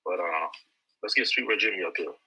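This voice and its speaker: A man hosting a casual chat, blunt and slangy with plenty of swearing, in a raspy voice. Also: low voice, a little fast, pushed and bright. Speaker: host of a live audio discussion.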